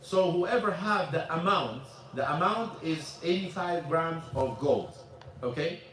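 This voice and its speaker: A man's voice: on the high side, expressive, measured pace, fairly bright tone, slight background noise. A man whose first language is Arabic, teaching a mosque class in English with a loose, repetitive manner and questions to the audience. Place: mosque hall. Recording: echoey hall, voice from far off.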